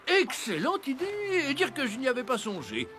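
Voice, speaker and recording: A man's voice: extremely high pitch, wildly swooping pitch, moderate pace, full character voice. A man voicing a kindly old Father Christmas in a cartoon, hearty and grandfatherly. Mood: pleased. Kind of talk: animated cartoon dubbing. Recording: music behind the voice.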